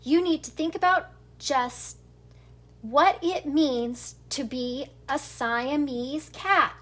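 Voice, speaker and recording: Woman playing a strict high-voiced scolding mother cat. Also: slow pace. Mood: lecturing. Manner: emphatic, lecturing.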